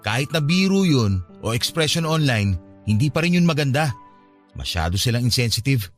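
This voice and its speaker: A man's voice: mid-range voice, sing-song, normal speed, faint music behind, lightly acted. An actor playing a hurt, defensive young man confiding in his ex in a radio drama.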